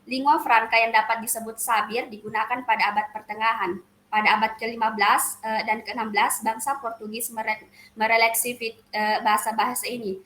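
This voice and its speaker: A young woman presents her class material in an echoey room, her bright, fairly high voice pausing often on hesitant fillers. She reads steadily from her notes. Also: slight background noise. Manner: hesitant, frequent "eh" fillers and restarts. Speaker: student presenter.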